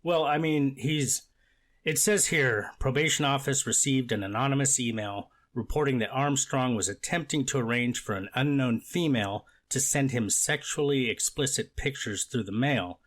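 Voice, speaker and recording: A man playing a skeptical judge in a courtroom readers theater, challenging the lawyer bluntly with halting restarts. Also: mid-range voice, a bit bright, close to an everyday voice. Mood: stern, challenging. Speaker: actor reading a judge.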